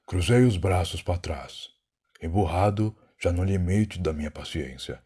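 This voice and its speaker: Proud, weary time traveler played by a man, Brazilian accent, low husky voice, solemn dramatic narration for an audio drama. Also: lively intonation, unhurried, warm tone, heavily acted. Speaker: narrator and lead character. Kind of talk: dramatic first-person scripted narration.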